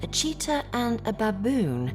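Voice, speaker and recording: An actress playing a troubled young Victorian gentlewoman, telling her story formally in an audio drama. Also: fairly high pitch, sing-song, a little slow, over music. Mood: troubled, sighing. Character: distressed Victorian English gentlewoman recounting family misfortune. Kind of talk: period audio drama acting, narrative monologue.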